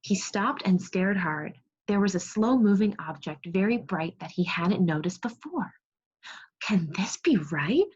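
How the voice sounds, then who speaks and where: Woman primary teacher, slightly husky, expressive read-aloud for kids, muffled video-class sound. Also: medium pitch. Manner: expressive storybook reading, praising and prompting pupils. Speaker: second grade teacher. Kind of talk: read-aloud lesson to young children. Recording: muffled, lo-fi online class audio.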